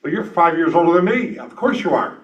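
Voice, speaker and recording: A man in his sixties hosting an event, talking to the audience in a brisk, folksy, self-deprecating way. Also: a little high, sing-song, far from the mic, lo-fi sound. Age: 60s. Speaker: event host and speaker. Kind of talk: lively stage talk to an audience.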